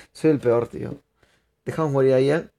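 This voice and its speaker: Young man, streamer, excitable, chatty, live game commentary. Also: mid-range voice, a little slow. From Peru. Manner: chatty, excitable, laughs often, addresses viewers.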